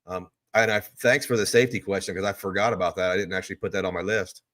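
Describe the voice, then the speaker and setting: A man's voice: low-pitched, steady tone, quick pace, a bit bright. A man, hosting a live stream from a hotel room. He rambles casually and answers viewer questions one after another.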